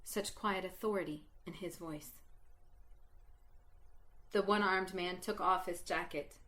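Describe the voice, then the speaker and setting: Slow, bright-voiced woman storyteller. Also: fairly low pitch. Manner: slow, expressive, building to exultant emotion. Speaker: narrator reading a story. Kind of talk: dramatic storytelling reading.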